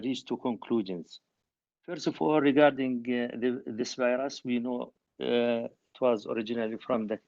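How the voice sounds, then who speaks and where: A man, a professor, giving a conference talk in a measured, halting way with many filler pauses, over a video call. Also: medium pitch, darkish tone. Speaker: professor, panel speaker. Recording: muffled lo-fi call audio.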